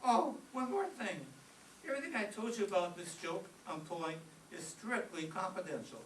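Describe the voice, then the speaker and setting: Mischievous, eager high school boy played by an actor for radio comedy; animated swings, roomy far-off stage sound. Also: moderate pace, natural voice. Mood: gleeful scheming. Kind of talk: old-time radio comedy acting. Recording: distant echoey stage with clear noise.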